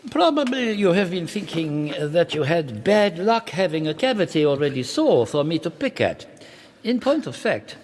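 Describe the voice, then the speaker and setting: An actor in a radio drama playing a cold, courteous, sadistic older torturer, speaking in measured, pedantic phrases with menacing pauses. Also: male voice, a little high, big pitch swings, darkish tone. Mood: coldly explaining.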